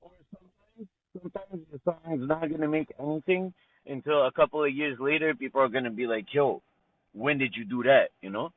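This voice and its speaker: Montreal rapper dude, chill slangy talk, dry thin call audio. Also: male voice, medium pitch, a little fast, no echo. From Montreal, Canada. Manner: laid-back slang, frequent "you know", "man", "bro". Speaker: rapper guest. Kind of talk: casual interview chat. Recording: tinny phone-like call line.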